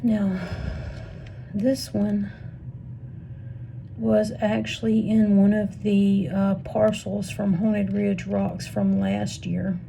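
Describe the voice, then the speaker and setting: A woman's voice: mid-range voice, flat delivery, very slow, vocal fry, dark tone. A woman with a Missouri accent, losing her voice, casually musing half to herself as she sorts stones for her vlog. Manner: muses half to herself, often drops to a whisper. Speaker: rock tumbling vlogger. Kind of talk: casual off-the-cuff commentary. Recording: noisy room ambience.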